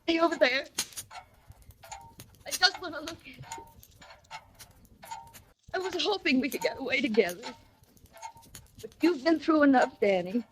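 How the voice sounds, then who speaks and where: An actress playing an obsessive, lovesick killer in a 1940s radio melodrama. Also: female voice, high voice, lively intonation, measured pace, pauses often, fairly bright tone. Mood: tearful, resigned. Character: obsessive, lovesick woman who has killed. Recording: noisy old radio recording.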